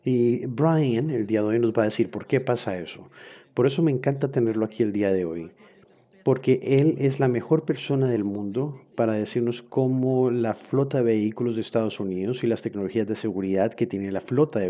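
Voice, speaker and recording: A man's voice: fairly low pitch, mellow. A man interpreting a webinar lecture into another language in real time, hesitant, with frequent fillers and self-corrections.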